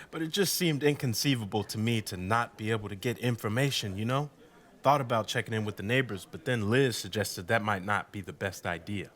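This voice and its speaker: A man's voice: fairly low pitch, normal speed, a bit bright. An actor playing a wry, self-deprecating husband being interviewed in a radio drama, telling his story in a sardonic, conversational way. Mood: wry, amused. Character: wry, indignant husband recounting blackout night, interviewee.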